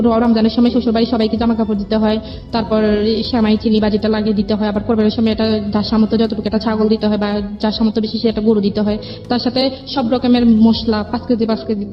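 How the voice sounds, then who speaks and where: A young woman tells her own life story as an interview guest, rambling, repeating herself and quoting conversations. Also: on the high side. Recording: dull lo-fi audio with music behind.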